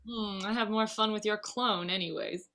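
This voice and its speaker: A woman's voice: medium pitch, moderate pace, bright, forceful tone. A woman playing a playful, affectionate young bride in a comedy table read, casual and teasing.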